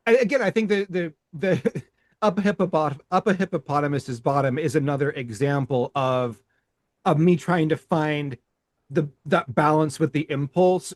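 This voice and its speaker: A man talking reflectively on a podcast in a halting, thoughtful way, choosing his words with care. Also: a little high, dry recording, studio quality. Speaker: podcast host and artist.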